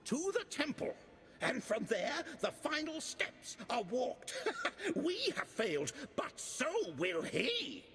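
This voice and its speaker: An actor playing a fanatical male cultist guard who shouts and laughs wildly, heard in a long reverberant space. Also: extremely high pitch, huge pitch swings, slow pace, exaggerated voice. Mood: fanatical raving. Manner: wild shouting, manic laughter.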